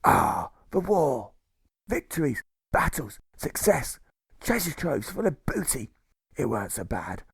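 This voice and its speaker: A volatile old sailor turned jailer from an audiobook, with a stage pirate accent. The voice is pushed high and creaky, swinging widely in pitch. He blusters and barks in salty, exclamatory slang. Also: sing-song, measured pace, no room sound, clean studio sound, heavily acted. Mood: brooding.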